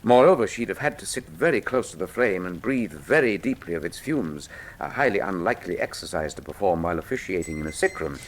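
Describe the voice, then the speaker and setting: A precise, animated Victorian detective. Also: male voice, low-pitched, brisk, slight background noise, rough recording. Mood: analytical. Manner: formal, precise, lecturing. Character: keen, commanding Victorian male detective, precise and erudite. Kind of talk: radio drama acting.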